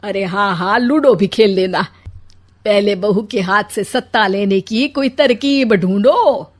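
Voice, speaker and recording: A woman's voice: medium pitch, unhurried, lightly acted. A woman voicing an older, scheming mother-in-law in a cartoon, speaking in a dramatic, complaining way. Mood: plotting.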